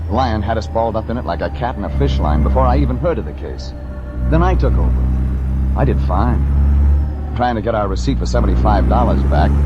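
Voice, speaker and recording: An actor playing a wry, terse, deadpan private detective in 1940s radio drama style, on an old recording with vehicle noise. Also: male voice, on the low side, highs cut off. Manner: terse, deadpan, hard-boiled. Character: wry hard-boiled male private detective from Los Angeles.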